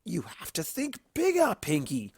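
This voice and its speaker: Woman as a male cartoon trickster creature, smug, boastful, cheeky, swinging pitch, dry recording. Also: huge pitch swings, unhurried, darkish tone, husky, no echo, full character voice. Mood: boastful, scheming. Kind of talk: fan fiction storytelling.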